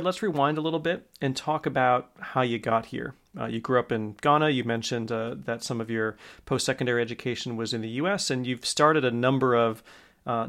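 A man's voice: mid-range voice, vocal fry, a bit bright. A man hosting a conversational interview, speaking in a thoughtful, affirming manner. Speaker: interview host.

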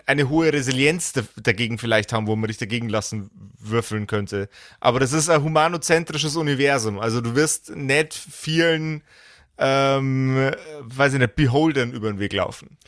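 Male game master, mid-pitched, dry recording; colloquial, blunt explanations in casual tabletop chat. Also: a bit bright, no echo. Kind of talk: casual tabletop character-creation discussion.

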